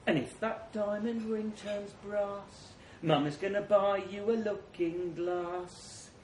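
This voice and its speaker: A man voicing a ghostly woman softly singing a lullaby, in a hushed, faint singsong. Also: high voice, steady tone, takes it slowly, a little hiss or hum. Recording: distant, roomy echo.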